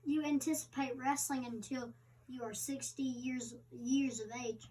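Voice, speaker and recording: A woman reading an interviewer's part aloud, halting and stumbling over words. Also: sits high, fairly even intonation, dark tone. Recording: distant microphone.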